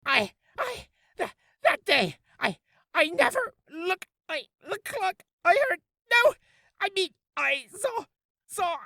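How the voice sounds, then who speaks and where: A man's voice: extremely high pitch, sing-song, slow, gravelly, bright, forceful tone, no room sound, studio quality, pitched above the performer's own voice, heavily acted. A man voicing a cornered, panicky male witness with a stammering, volatile manner, as game character acting. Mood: nervous.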